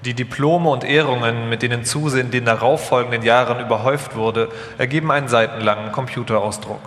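Male narrator for a live scripted documentary, mid-pitched, in a very reverberant hall. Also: fairly even intonation, fairly quick, a bit bright. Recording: echoey hall, long reverb.

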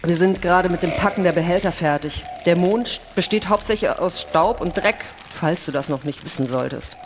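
Echoey noisy stage recording, woman as tired moon astronaut, lowish voice, dry and grumbly, radio play reading. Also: normal speed, lightly acted. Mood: dry, irritated. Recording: reverberant hall with loud noise and clicks.

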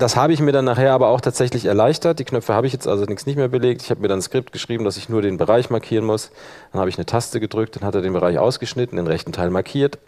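Man casually presenting a software workshop. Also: fairly low pitch. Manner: colloquial, thinking aloud while working. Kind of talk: informal live software demonstration.

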